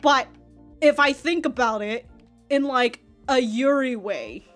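A woman's voice: high-pitched, slow pace, fairly bright tone, soft background music. A young woman in her twenties hosting an anime reaction video, chatting casually, rambling with frequent laughs and swearing, close to a studio mic. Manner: rambling, laughs often, swears, repeats words. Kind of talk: casual review chat. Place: small room with anime backdrop. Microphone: large foam-covered studio mic in front.

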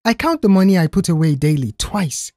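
A woman's voice: on the low side, animated, a little fast, warm tone, no room sound, pitched above the performer's own voice, close to an everyday voice. Narrator doing a nervous young woman secretary, earnest, defensive, dry audio story sound. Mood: anxious. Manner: anxious, defensive.

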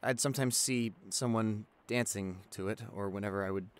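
A man playing a shy, anxious young boyfriend in an ASMR roleplay, speaking softly with hesitant stammers. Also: on the low side, a little fast, clear, resonant tone, natural voice. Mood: shy, musing. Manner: hesitant, stammering, soft-spoken. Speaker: audio roleplay performer.

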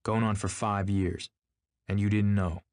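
A man's voice: on the low side, level tone, a little slow, a little mellow, no room sound, clean studio sound, lightly acted. Man acting a polite, insistent, earnest suitor. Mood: vivid, insistent. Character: polite, self-assured male suitor, earnest and intense. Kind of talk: audiobook character acting.

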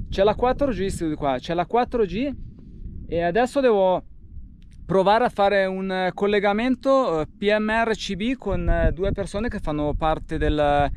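A man casually narrating a hiking vlog to camera, recorded outdoors. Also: high voice. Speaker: hiking and amateur radio vlogger. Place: outdoors on a mountain trail. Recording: noisy outdoor recording with clicks.

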